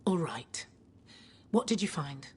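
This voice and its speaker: Wary, determined lawwoman, lowish voice. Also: lively intonation, slow, slightly rough, heavily acted. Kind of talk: cinematic video game acting.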